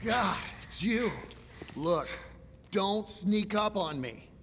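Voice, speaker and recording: An actor playing a cocky, skeptical photojournalist, blunt and inquisitive, in a video game cutscene. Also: male voice, sits high, lively intonation, takes it slowly, heavy background noise. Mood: startled, wry. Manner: blunt, questioning, wry.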